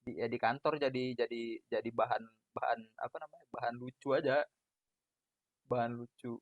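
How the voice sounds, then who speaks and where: A young man from Medan with a Medan accent, a big booming voice and a loud, laughing way of chatting as a podcast host on a call. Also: flat delivery, a little fast, no echo. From Medan, Indonesia. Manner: loud, laughing, self-deprecating. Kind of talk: casual chat over a video call. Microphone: video call audio. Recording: dull lo-fi call audio, dry.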